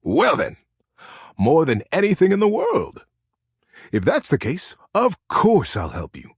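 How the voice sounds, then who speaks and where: A man's voice: wildly swooping pitch, slightly rough, dry recording, studio quality, full character voice. Man playing a hearty, bombastic crab storekeeper. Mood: warm agreement. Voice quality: booming. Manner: hearty, deliberate, kindly. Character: bombastic, amiable male crab storekeeper, fatherly and encouraging. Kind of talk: storybook character voice.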